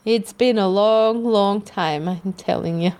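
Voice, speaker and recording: A woman's voice: mid-range voice, slow, darkish tone, smooth. A woman with an Austrian accent, co-hosting a casual podcast chat, rambling with restarts and the odd swear word. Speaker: podcast co-host.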